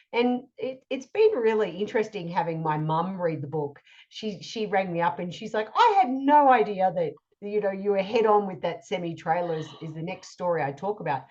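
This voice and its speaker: A woman in her thirties with a husky voice, telling her story with quick enthusiasm as co-host of a casual podcast. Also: mid-range voice, animated, a little mellow. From Australia. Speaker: co-host and author. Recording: dull lo-fi audio.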